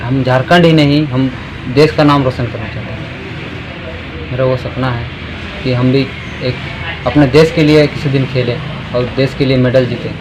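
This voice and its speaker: A man speaking earnestly in a halting way during a news field interview, often saying "sir". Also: medium pitch, fairly even intonation, noisy background.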